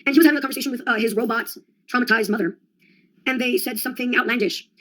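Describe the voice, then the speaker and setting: A woman's voice: a little high, very fast, a bit bright, poor audio. A young adult woman in a rambling solo monologue, sardonic and profane, jumping from one topic to the next. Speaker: vlogger.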